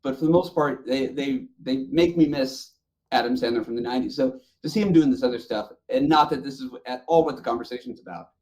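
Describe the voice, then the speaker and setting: Mellow-voiced man, opinionated podcast chat. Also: medium pitch, brisk, low-quality recording. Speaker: podcast co-host. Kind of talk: casual panel chat.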